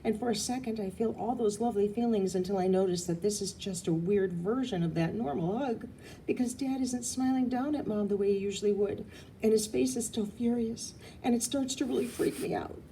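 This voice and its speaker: A woman reading a children's novel aloud, an expressive narrator with dramatic emphasis. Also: mid-range voice, fairly quick, slight background noise, rough recording. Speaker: audiobook reader. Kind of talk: expressive storybook narration, first person as a girl.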